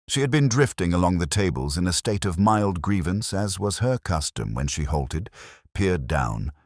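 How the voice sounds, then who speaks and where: Male narrator, very low voice, lively intonation, dry wit, close dry sound. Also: dry recording. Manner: dry, measured, wry mock-gravity. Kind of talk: scripted storybook narration of a comic village mystery.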